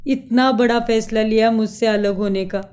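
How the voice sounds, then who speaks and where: A woman's voice: a little high, fairly even intonation, normal speed, a little mellow, clear, resonant tone, lightly acted. A woman voicing a hurt, pleading husband and loyal father in a melodramatic cartoon story. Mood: hurt, reproachful. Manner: pleading, reproachful.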